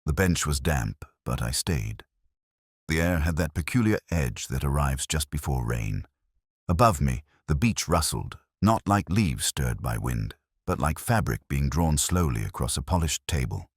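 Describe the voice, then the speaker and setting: A man with an extremely low, slightly husky voice narrates a detective story. His delivery is reflective and measured, yet his pitch moves in a lively way through each literary sentence. The recording is dry and close. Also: no room sound. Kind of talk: first-person scripted detective story narration.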